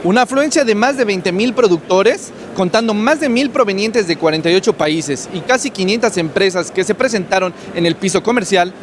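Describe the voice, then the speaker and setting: A young male reporter presenting a scripted news report, reading off figures briskly. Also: high voice, lively intonation, slight background noise.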